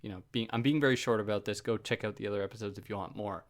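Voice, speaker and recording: A man talks through a philosophy text in a relaxed, chatty way, as if explaining it to a friend. He jokes at his own expense and slips into side points before coming back on track. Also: fairly low pitch, very fast. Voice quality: soothing voice. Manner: chatty, self-deprecating, goes off on tangents. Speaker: philosophy podcast host. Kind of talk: casual explanatory lecture.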